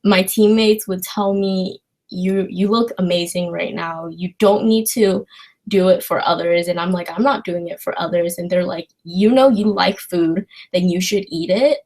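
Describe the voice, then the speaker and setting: A woman's voice: fairly low pitch, normal speed, a little mellow, low-quality recording. A young woman from China chatting informally as a livestream host, rambling and hesitant as she addresses her viewers. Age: young adult. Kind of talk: casual livestream chat answering viewer questions.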